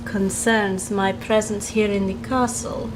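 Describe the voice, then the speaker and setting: A woman playing a mysterious tiefling stranger in a tabletop game, formal, deliberate and guarded, with music behind. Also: medium pitch, little pitch movement, noisy background, lightly acted. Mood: cool, guarded. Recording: music under the voice.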